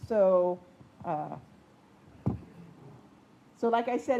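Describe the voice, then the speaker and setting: A woman explains her workshops steadily, with a few fillers along the way. Her voice is mellow and a little high. A faint hiss sits behind her. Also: highs cut off. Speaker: puppet workshop leader. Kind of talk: casual presentation.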